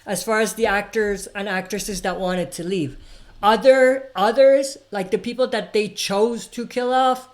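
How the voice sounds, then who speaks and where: A woman's voice: mid-range voice, fairly even intonation, measured pace. A woman hosting a casual livestream, reading viewer comments aloud and answering them in an opinionated, rambling way with plenty of fillers. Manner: frequent fillers and restarts, opinionated. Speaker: livestream host answering chat questions. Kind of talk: casual live chat.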